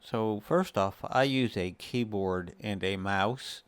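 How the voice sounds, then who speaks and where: Smooth low male voice, casual gaming tutorial host, measured explaining, short pauses, dry sound. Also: no room sound. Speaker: host of a gaming guide video series. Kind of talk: casual tutorial narration for a screen recording.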